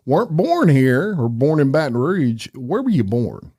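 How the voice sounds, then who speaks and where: A man's voice: medium pitch, huge pitch swings, normal speed, a little mellow. Chatty man hosting a podcast. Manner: upbeat, chatty, frequent restarts. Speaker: podcast host. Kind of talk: casual interview chat.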